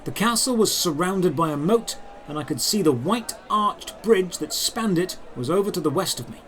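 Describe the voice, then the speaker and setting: A man's voice: high voice, animated, normal speed, fairly bright tone, a little hiss or hum. A man giving dramatic, scripted first-person narration for a fantasy audio drama.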